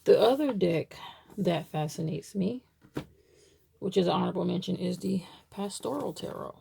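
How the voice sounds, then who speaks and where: A woman's voice: fairly low pitch, normal speed, creaky, mellow, a little hiss or hum. A woman from Baltimore chatting casually to camera, rambling with many fillers as she shows items. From Baltimore, USA. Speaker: tarot deck reviewer.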